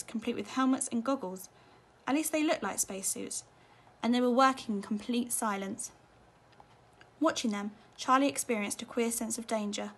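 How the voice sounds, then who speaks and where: A woman narrating a children's storybook expressively, as a teacher reading at bedtime. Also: fairly high pitch.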